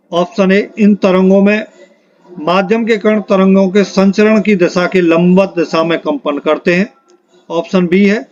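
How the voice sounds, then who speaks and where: A man with a high-pitched voice works as an exam-prep teacher on a dull, lo-fi recording. He reads out multiple-choice questions and their options in an instructional manner, then states which one is correct. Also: darkish tone. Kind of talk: quiz lesson, reading questions and options aloud.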